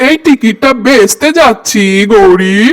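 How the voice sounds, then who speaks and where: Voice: mid-range voice, unhurried, background noise, close to an everyday voice. A performer voicing a good-natured male crow husband in a cartoon. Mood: easygoing.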